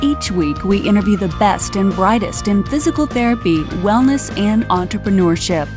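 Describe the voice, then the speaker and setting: A woman's voice: mid-range voice, lively intonation, normal speed. A woman reading a polished, scripted podcast introduction as announcer. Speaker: show announcer. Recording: intro over loud music.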